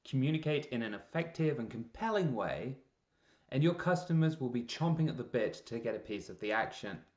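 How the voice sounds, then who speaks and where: A man presenting a scripted promotional explainer in a measured, deliberate way with emphasis on key words. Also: medium pitch, expressive. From Canada. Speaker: presenter, freelance copywriter. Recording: roomy echo, slightly dull lo-fi sound.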